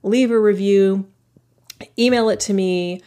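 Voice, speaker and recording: A woman's voice: mid-range voice, steady tone, a little slow, mellow. A woman hosting a podcast, talking in a casual, enthusiastic way and laughing often. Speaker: podcast host.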